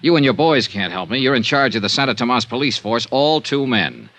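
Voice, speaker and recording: A man's voice: mid-range voice, very animated, a little fast, fairly bright tone, no room sound. An actor playing a wry, tough American insurance investigator who narrates his case in clipped, hardboiled 1950s radio detective style. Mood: irritated, forceful. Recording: noisy old radio drama recording.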